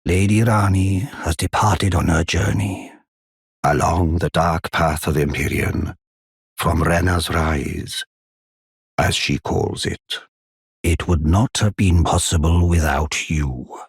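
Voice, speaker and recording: Casting: aged giant blacksmith-counselor for a fantasy game. Very low, heavily rasping, solemn and devoted. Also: male voice, fairly even intonation, no echo, studio quality, heavily acted. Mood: courteous, welcoming. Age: older. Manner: slow, solemn, archaic courteous phrasing. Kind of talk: formal video game character acting.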